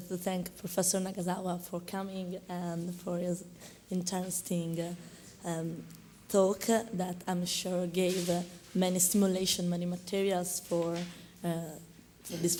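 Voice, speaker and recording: Hoarse Italian woman, slow and halting. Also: lowish voice, dark tone, a little hiss or hum. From Italy. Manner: hesitant, many fillers and restarts. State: hoarse from a cold. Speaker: conference respondent. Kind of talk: academic conference response.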